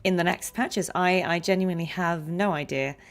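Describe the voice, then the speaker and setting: A woman's voice: lowish voice, moderate pace, faint music behind. A woman game streamer chatting informally and musing aloud to her live viewers. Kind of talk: casual live stream chat.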